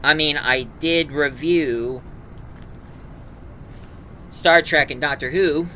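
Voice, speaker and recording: A man giving a casual, rambling review in a halting, enthusiastic fan manner. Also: medium pitch, pushed and bright, slight background noise. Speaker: reviewer, host.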